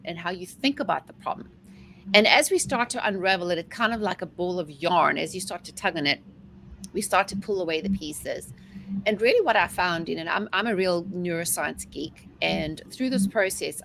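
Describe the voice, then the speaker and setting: A talkative South African woman in her forties, her voice sitting a little low as she tells her story with energy. Her words flow fast and easy in a relaxed interview chat. A faint hiss of noise runs underneath. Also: rough recording. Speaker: guest, mindset coach. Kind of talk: casual interview talk.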